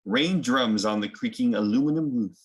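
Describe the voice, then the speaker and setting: A man's voice: mid-range voice, moderate pace. A man hosting a children's picture book read-aloud, mixing story narration with casual explanatory asides.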